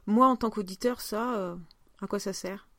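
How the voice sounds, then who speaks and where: A woman's voice: medium pitch, moderate pace, fairly bright tone, no room sound. A woman co-hosting a podcast in casual chat, informal and often searching for her words. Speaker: podcast co-host.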